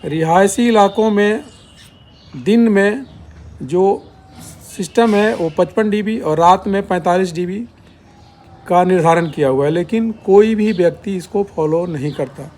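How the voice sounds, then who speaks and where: Male explainer host, high pitch, measured and formal with broken phrasing; faint background noise and birds. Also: a little mellow. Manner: halting delivery in short broken phrases. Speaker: YouTube channel host. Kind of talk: spoken explainer, point by point.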